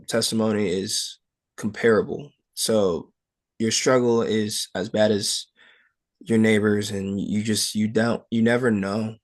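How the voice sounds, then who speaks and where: Young guy athlete in an interview, lowish voice, casual n halting, lots of filler words. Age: young adult. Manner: halting, frequent "like" and "you know". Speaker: wrestler being interviewed. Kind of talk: casual, personal interview answers.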